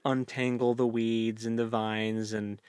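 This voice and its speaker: A young man co-hosting a book discussion, chatting informally and enthusiastically in halting phrases. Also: mid-range voice, flat delivery, a little mellow, clear, resonant tone, no room sound, clean studio sound. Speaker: book podcast co-host.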